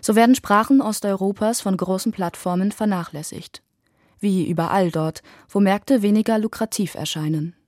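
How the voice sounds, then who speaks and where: Female radio reporter, mellow tone, dry studio sound, measured scripted read. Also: medium pitch, no echo.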